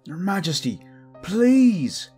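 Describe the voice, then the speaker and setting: A man's voice: sits high, very animated, takes it slowly, darkish tone, breathy edge, soft background music, full character voice. A man playing a loyal, protective commoner in a fantasy audio drama, earnest and informal in manner. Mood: defiant pleading. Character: loyal protective young male commoner, defiant but caring.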